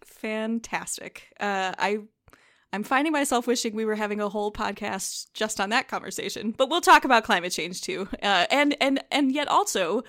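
A woman hosting a podcast, reading a measured scripted introduction and then interviewing her guest. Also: medium pitch, animated, fairly bright tone, no room sound, studio quality. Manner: measured, with small filler restarts. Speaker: podcast host.

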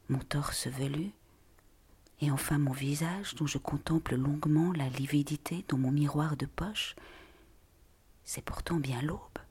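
This narrator reads poetic prose very slowly and deliberately. The voice is heavily breathy and hushed, often slipping close to a whisper. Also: mid-range voice. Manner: slow, hushed, deliberate delivery. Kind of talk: literary reading of poetic prose.

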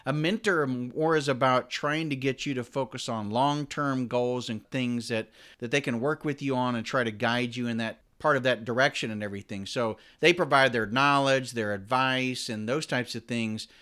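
Man, podcast host, chatty, explanatory, mid-pitched. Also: normal speed, hardly any pauses, a bit bright. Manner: explanatory, rambling questions. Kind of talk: conversational interview.

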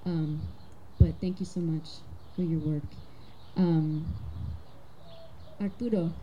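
A woman's voice: low voice, steady tone, takes it slowly, highs cut off, a little hiss or hum. A woman facilitating a community panel, thanking speakers and introducing the next guest, with frequent fillers.

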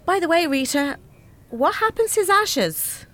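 An actress playing a brisk, stingy, scornful grown daughter in a radio play, with an Irish accent and an emphatic delivery. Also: female voice, extremely high pitch, sing-song, fairly bright tone, full character voice.

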